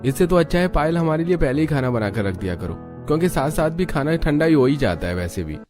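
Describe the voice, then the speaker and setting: A man's voice: a little high, very animated, fast, over music. A man playing a complaining young office-going husband in a moral story. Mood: dissatisfied. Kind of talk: acted dialogue in a moral story.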